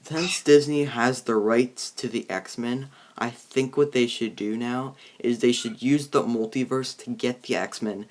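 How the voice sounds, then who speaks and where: A man's voice: mid-range voice, fairly even intonation. A young man hosting a casual film discussion, feeling his way through sentences and rephrasing as he goes. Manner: halting, rephrasing often. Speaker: host, video reviewer.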